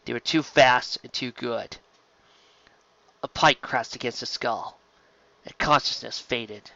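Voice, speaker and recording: A man narrates a story in a bright, forceful voice. He reads scripted prose dramatically. The recording is very dry and a little dull. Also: mid-range voice, slow pace, gravelly, no echo. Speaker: reader of fan fiction.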